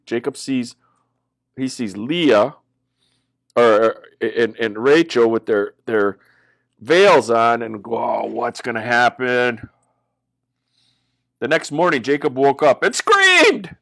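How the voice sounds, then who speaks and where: Animated man telling children's Bible stories. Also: medium pitch. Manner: dramatic, expressive delivery with exaggerated emphasis.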